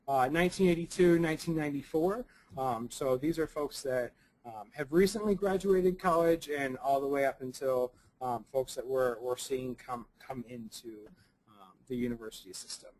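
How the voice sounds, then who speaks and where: Young male presenter, slightly raspy from a cold, halting delivery full of ums and restarts. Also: a little high, rough recording. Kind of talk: recorded conference presentation.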